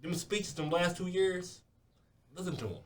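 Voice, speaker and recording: A man's voice: sits high, lively intonation, normal speed. A man in a podcast discussion, talking emphatically and outspokenly. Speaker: podcast participant. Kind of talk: casual discussion. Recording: distant mic with faint background noise.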